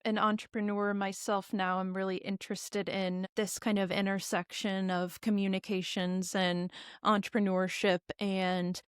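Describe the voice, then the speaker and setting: A woman's voice: medium pitch, flat delivery, a little slow, clear, resonant tone, dry recording, studio quality. A woman hosting a podcast, asking her guest questions in a friendly, upbeat and loose way. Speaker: podcast host. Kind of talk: casual interview talk.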